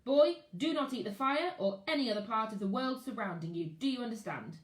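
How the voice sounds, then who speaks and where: A woman's voice: fairly high pitch, expressive, fairly quick, close to an everyday voice. A woman reading as a brusque jungle explorer. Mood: brisk. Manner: terse, instructive commands. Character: commanding adult male jungle explorer, brusque. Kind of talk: reading a novel aloud. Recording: roomy echo.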